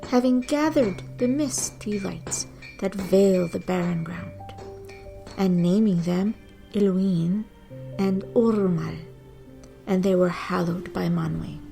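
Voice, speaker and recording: A woman narrating scripted mythology in a dramatic, deliberate storytelling manner with measured pauses. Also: mid-range voice, vocal fry, dark tone. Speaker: podcast host and narrator.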